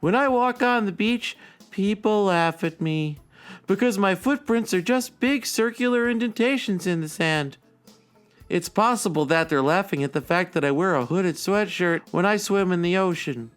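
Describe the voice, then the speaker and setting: An actor playing a prissy, self-conscious oddball blogger, reading a comic blog in a deliberate, defensive deadpan. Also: mid-range voice, natural voice. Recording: music under the voice.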